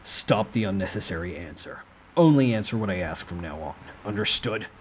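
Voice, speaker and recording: A man's voice: fairly low pitch, lively intonation, brisk, a little hiss or hum, natural voice. A man voicing an intimidating young man, a cold and ruthless regressor who speaks in curt, threatening commands in a dramatic novel reading. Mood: threatening, commanding.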